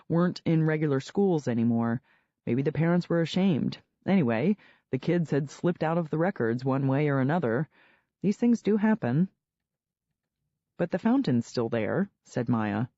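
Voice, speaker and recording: Mellow man reading an audiobook. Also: a little high, no echo. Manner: steady read-aloud storytelling. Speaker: audiobook narrator. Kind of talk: scripted narration of a novel. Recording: dull, lo-fi dry sound.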